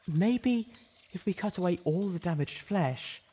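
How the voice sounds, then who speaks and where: A man voicing a gentle but firm female pony medic in an audiobook, measured and reasoning. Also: high voice, lively intonation, slightly rough, voice pushed up, heavily acted. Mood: hesitant.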